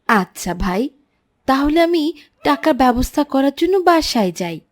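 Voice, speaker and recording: A polite, keen cartoon schoolboy, played by a woman, with a high voice that moves up and down animatedly. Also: sing-song, a little slow. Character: polite, keen young schoolboy who saves his pocket money. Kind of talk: children's moral cartoon dubbing.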